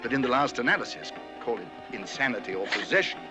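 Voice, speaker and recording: An actor playing a rational, educated lecturer, formal and measured in a film drama. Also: male voice, medium pitch, animated, loud music behind the voice, natural voice. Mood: measured, explaining. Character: rational, educated male lecturer reassuring a woman.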